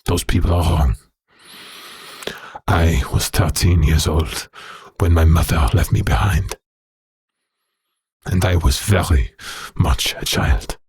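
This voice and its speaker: An actor playing a bitter, haunted werewolf prisoner in an audio drama, speaking in a slow, brooding, halting way with a rough voice. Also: male voice, deep voice, a little mellow, no room sound, clean studio sound, full character voice. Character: bitter haunted male werewolf prisoner recounting his past.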